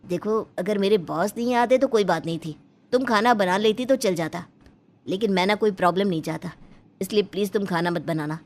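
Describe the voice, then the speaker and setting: A woman's voice: mid-range voice, a little fast, close to an everyday voice. A woman voicing a blunt, matter-of-fact husband and office worker in a cartoon story. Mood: urgent, insistent. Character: blunt adult husband, office worker who praises his sister-in-law.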